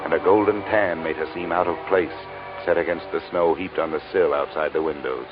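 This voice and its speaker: An American man playing a blunt, deadpan insurance investigator in an old-time radio crime drama, speaking in a clipped manner. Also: extremely low pitch, brisk. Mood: dry, narrating. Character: brisk, blunt hard-boiled male insurance investigator narrating his case. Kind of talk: 1940s-50s radio detective acting. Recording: tinny band-limited old radio, music behind.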